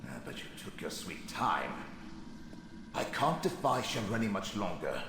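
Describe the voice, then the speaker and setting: Deep ghostly wizard for a game, snooty and wordy, swoopy delivery, big echo. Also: male voice, big pitch swings, a little slow, a bit bright, exaggerated voice. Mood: disdainful. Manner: imperious, theatrical, wordy. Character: haughty, sardonic ghost of a male wizard. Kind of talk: scripted video game acting. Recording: echoing cavernous reverb, faint noise.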